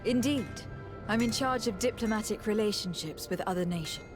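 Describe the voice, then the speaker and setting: A woman's voice: mid-range voice, fairly quick, music in the background, lightly acted. A woman playing a composed, courteous noble diplomat in a video game, speaking politely and formally. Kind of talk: video game dialogue acting.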